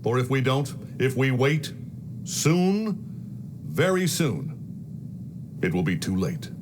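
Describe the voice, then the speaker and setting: Bombastic American president, lively delivery. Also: male voice, mid-range voice, slow pace. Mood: fervent, ominous. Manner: oratorical, emphatic, grandiose. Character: zealous, bombastic anti-communist American president. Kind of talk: political speech in a game cutscene. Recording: clear background noise and ambience.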